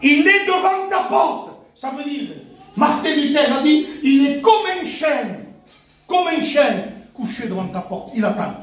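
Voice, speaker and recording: A man preaching a sermon with emphasis, repeating phrases and swinging between loud cries and hushed asides. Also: very high-pitched, expressive, a little slow. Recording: very echoey hall, mic from far off.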